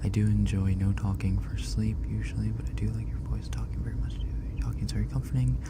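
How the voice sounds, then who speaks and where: A man streaming ASMR, chatting casually and softly with viewers, often dropping into a whisper, on a binaural microphone. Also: lowish voice, fairly even intonation, quick pace, very noisy. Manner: soft-spoken, often whispering, chatty and self-deprecating. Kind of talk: casual ASMR livestream chat reading donations.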